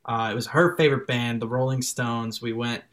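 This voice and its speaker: A young man with a Chicago accent, French his first language, talks easily as a guest on a video call. He laughs often and restarts his sentences, relaxed and chatty. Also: medium pitch, fairly quick. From Chicago, raised in France till six. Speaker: musician guest. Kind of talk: casual interview over video call.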